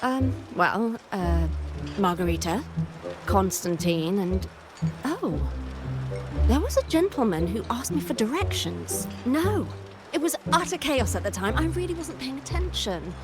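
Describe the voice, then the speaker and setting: An actress playing an expressive, unlucky young woman in a video game, with a dramatic, plaintive manner. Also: on the high side, a little slow. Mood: flustered. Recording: music behind the voice.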